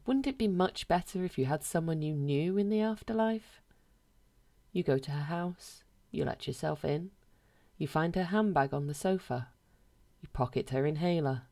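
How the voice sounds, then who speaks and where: This is a woman narrating a short story. Her pitch is low for a woman. She reads the scripted prose in a measured manner, with a deadpan delivery throughout.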